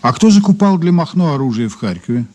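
A man's voice: a little high, very animated, fast, a little mellow, soft background music. An actor in a Soviet radio drama playing a methodical investigator, formal and businesslike as he questions and recounts a case. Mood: probing. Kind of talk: Soviet radio drama acting, part narration.

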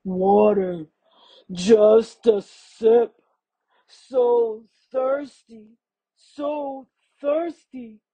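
A woman voicing a big, thirsty crocodile in a children's story, rasping and pleading in short, laboured phrases. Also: fairly high pitch, fairly even intonation, extremely slow, dull audio, voice pushed up, heavily acted. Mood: parched, pleading. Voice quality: rasping, husky. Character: large, thirsty, lost, mud-caked male crocodile.

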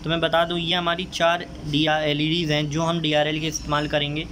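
A man's voice: on the high side, flat delivery, a little fast, fairly bright tone, slight background noise. A young man casually explaining a DIY car wiring job step by step, talking to viewers like friends while filming on a phone beside his car. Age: young adult. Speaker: DIY car modification vlogger. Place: outdoors beside a car, at the engine bay. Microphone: handheld phone camera.

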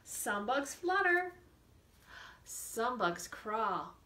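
A woman leading a storytime for young children, reading a picture book expressively and deliberately, drawing out words and asking the children questions. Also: fairly high pitch, big pitch swings, pushed and bright. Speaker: children's librarian hosting storytime. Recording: echoey room sound.

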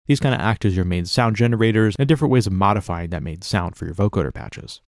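A man narrating a casual step-by-step software tutorial in an informal, explanatory way. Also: on the low side, quick pace, no room sound, studio quality.

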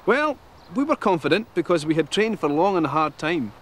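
An actor playing the earnest ghost of a medieval soldier in an educational television re-enactment. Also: male voice, a little high, sing-song, normal speed, fairly bright tone, a little hiss or hum. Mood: earnest, matter-of-fact.

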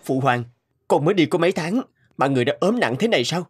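A man's voice: a little high, expressive, quick pace, slightly rough. A man voicing an earnest, devoted young prince in a cartoon fairy tale. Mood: worried, dutiful. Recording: loud background music.

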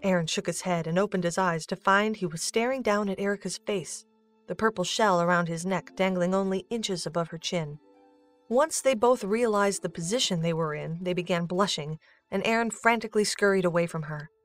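Woman narrator reading an audio drama story, lowish voice, some music underneath. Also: fairly quick.

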